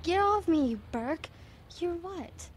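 A cheeky wartime lad, voiced by a woman. He is excitable and boyish, in radio drama style. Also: extremely high pitch, slow, a little hiss or hum, full character voice. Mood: groggy, wary.

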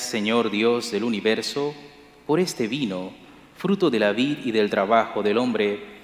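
Solemn priest in an echoey church. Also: male voice, fairly low pitch. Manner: measured, solemn liturgical recitation. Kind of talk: celebrating Mass, liturgical prayers. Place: large church sanctuary, at the altar. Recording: echoey church with long reverb.

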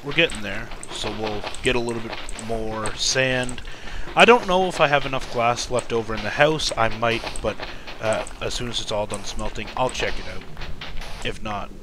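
A man with a deep voice giving casual, rambling commentary while playing a game, speaking softly and correcting himself as he goes. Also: moderate pace, background noise. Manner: soft-spoken, rambling, self-correcting. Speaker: let's-play gamer.

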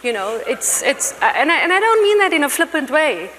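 A woman's voice: sits high, big pitch swings, a bit bright. Woman, panelist, earnest list-making delivery, long reverb with faint background noise. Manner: earnest, measured, builds points in lists. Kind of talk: panel discussion. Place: large conference hall stage.

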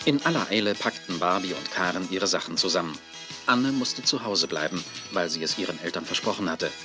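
A man's voice: deep voice, lively intonation, a little fast, over loud music. A man playing the narrator of a children's radio play, reading scripted storytelling. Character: male storyteller of a children's tale.

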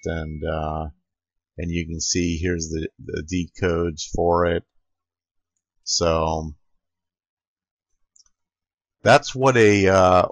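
A man's voice: low-pitched, no room sound. A man narrating an informal screen-capture tutorial as an amateur radio operator, recorded close on a dull computer microphone.

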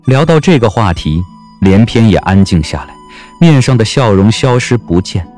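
A man narrating a historical romance audio drama as an expressive storyteller. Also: lowish voice, moderate pace, faint music behind.

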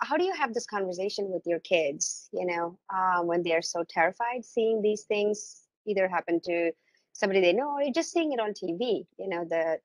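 Low, hesitant woman, dryly recorded. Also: a bit bright, no room sound, low-quality recording. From India. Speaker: audience member asking a question. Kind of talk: informal question and personal account. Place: indoors on a video call. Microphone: video call.

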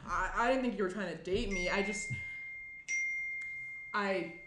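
A woman's voice: mid-range voice, a little slow, lightly acted. A young woman playing a tense, guarded, sarcastic morning-after guest in a naturalistic table read. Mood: tense, awkward. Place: small indoor room at a table. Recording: roomy, faint background noise.

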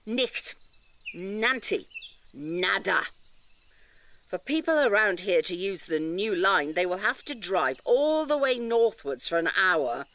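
A woman's voice: mid-range voice, animated, takes it slowly, fairly bright tone, slight background noise. A woman playing an indignant nature-reserve campaigner in an audio drama, lecturing emphatically with pedantic detail and rhetorical questions. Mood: wound up.

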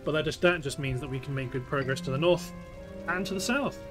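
A man's voice: on the high side, a little fast, over music. A British man giving casual let's play commentary on a strategy game, thinking aloud in short remarks. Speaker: gameplay host.

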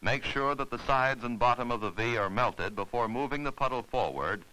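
Bright, forceful male instructional narrator. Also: medium pitch, moderate pace, low-quality recording. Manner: clear step-by-step instructing, formal.

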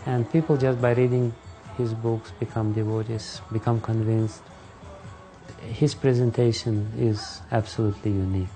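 A man giving an earnest, measured interview answer. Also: lowish voice, steady tone, warm tone, faint music behind, rough recording.

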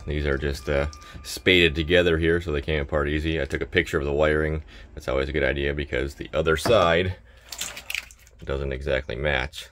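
A man in his thirties working on a boat, explaining each repair step in a relaxed, casual way. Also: a bass voice, slight background noise. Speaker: boat mechanic. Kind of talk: casual how-to narration while working. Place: inside a boat cabin.

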